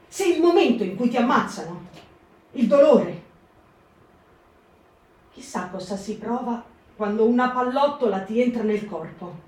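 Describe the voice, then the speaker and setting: A woman's voice: on the high side, a little mellow. A woman voicing a frightened wartime woman helping partisans, in a reflective, anxious monologue. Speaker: actor in a radio reading. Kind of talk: stage reading for radio. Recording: echoey room, distant mic.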